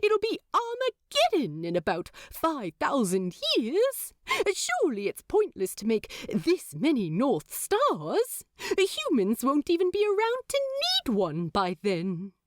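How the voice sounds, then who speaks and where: Woman doing a fussy flustered angel guy, stammers a lot, slow and swoopy. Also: high-pitched, huge pitch swings, dry recording, clean studio sound, voice pushed up, heavily acted. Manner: stammering, fretful.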